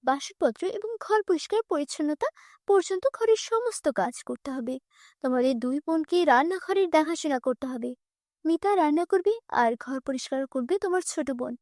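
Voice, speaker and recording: A woman voicing a sharp-tongued, bossy, resentful aunt in a fairy-tale cartoon, scolding and commanding. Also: very high voice, dry recording, studio quality.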